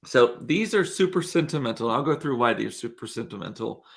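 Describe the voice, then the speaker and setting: A man's voice: mid-range voice, expressive, brisk, gravelly. A congested, sniffly man in his forties hosting a casual livestream from a room at home, rambling and chatty as he tells stories. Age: 40s. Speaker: livestream host. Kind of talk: casual solo livestream chat.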